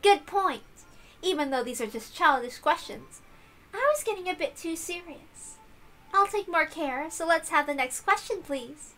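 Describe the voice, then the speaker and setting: A self-assured young lady detective from a visual novel , as a woman acts her. Her voice is high and bright, with polite, formal phrasing and a condescending edge. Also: lively intonation, normal speed, soft background music, full character voice. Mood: polite, self-satisfied.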